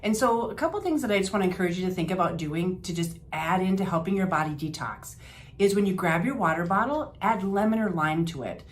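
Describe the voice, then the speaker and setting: A woman's voice: fairly low pitch, expressive, brisk. Chatty older woman. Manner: chatty, homey, with fillers and restarts. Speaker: health practitioner. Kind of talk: informal health advice talk to camera.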